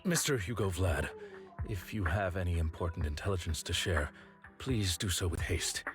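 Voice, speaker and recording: A man's voice: deep voice, lively intonation, moderate pace, soft background music. An actor voicing a dutiful, reserved male protector in a video game, speaking with stiff, restrained courtesy. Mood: formal, reserved.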